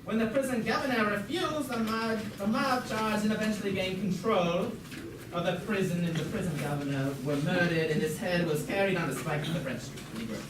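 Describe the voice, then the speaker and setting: A student putting on a halting puppet museum guide, distant and echoey. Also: medium pitch, close to an everyday voice. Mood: formal, stumbling. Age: teenager or young student. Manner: halting, stumbling over words, giggly at times. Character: puppet museum guide, formal, mock-learned, named Schnitzelbach. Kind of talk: amateur school puppet show, scripted lecture. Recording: noisy, echoey room, far from mic.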